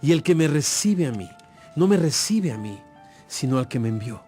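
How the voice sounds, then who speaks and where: An actor playing an authoritative, earnest religious teacher with a solemn, emphatic delivery in a dramatized audio Bible. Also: male voice, on the high side, big pitch swings, moderate pace, warm tone, soft background music. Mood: teaching.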